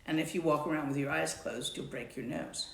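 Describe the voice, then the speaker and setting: A woman teaching meditation and answering a student's question in a slow, measured way. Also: deep voice, vocal fry, a bit bright, roomy sound, low-quality recording. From Latvian background. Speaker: Dzogchen teacher.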